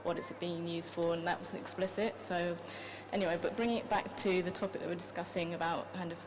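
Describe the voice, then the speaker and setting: A woman's voice: fairly low pitch, fairly even intonation, a little fast. A woman from Japan speaking politely and tentatively about her own experience in a group discussion, often qualifying herself. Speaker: event participant, mother. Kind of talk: personal remarks in a group discussion. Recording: clear background noise, live event room.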